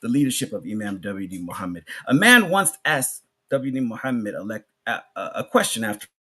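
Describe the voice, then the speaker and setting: A man giving an Islamic sermon as a public address, speaking emphatically in short phrases with pauses, repetition and rhetorical questions. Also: lowish voice, very animated, bright, forceful tone, slightly rough. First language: English. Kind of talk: sermon, public religious lecture.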